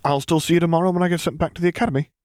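A man voicing a cheeky, excitable teenage cadet in an audiobook story, blunt and mischievous. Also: fairly high pitch, rapid-fire, no echo, studio quality, pitched above the performer's own voice, natural voice. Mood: bright, cheeky. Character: cheeky excitable young military cadet, the general's son.

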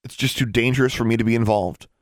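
A man's voice: mid-range voice, steady tone, fast talker, no room sound, clean studio sound, pitched above the performer's own voice, close to an everyday voice. A man voicing a serious, guarded and protective older brother in an audiobook, muttering wearily. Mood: muttered. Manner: muttering, weary, clipped.

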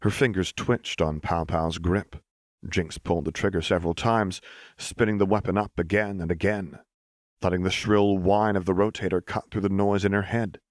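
A man's voice: low voice, steady tone, moderate pace, no room sound. A man reading a story aloud as scripted audiobook narration. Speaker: audiobook reader.

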